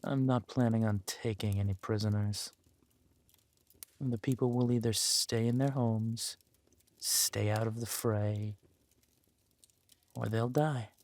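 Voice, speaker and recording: A man playing a ruthless, vengeful warlord in an audio roleplay, speaking deliberately and with menace. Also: fairly low pitch, fairly even intonation, a little mellow. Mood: intimate, brooding. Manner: slow, deliberate, menacing delivery. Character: ruthless villain warlord lord, vengeful, possessive lover. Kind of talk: dramatic villain roleplay acting.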